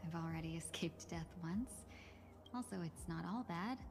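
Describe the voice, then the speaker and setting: An actress voicing a guarded, weary but quietly resolute young woman in a video game, soft and formal with some hesitation. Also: lowish voice, moderate pace, a little hiss or hum, natural voice. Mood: surprised, subdued.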